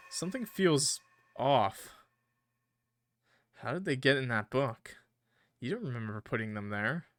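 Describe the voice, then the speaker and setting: A man's voice: medium pitch, bright, forceful tone, dry recording. Man reading a game aloud, music behind. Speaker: gaming streamer. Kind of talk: reading a visual novel aloud with casual reactions.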